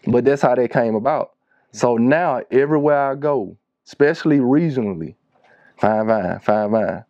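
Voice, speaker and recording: A relaxed, mellow-voiced man in his thirties with a Louisiana Southern accent tells stories in loose, slangy conversation. The sound is close and dry, a little dull on top. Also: mid-range voice, moderate pace, dry recording. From Shreveport, Louisiana, United States. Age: 30s. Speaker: music producer, interview guest. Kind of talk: casual interview storytelling. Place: small wood-panelled recording studio.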